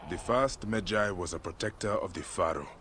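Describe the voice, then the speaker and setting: An actor playing a patient Egyptian father and protector, measured and instructive in a naturalistic game scene. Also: low-pitched, a bit bright, a little hiss or hum. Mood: tender, reflective. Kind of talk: naturalistic game cutscene acting.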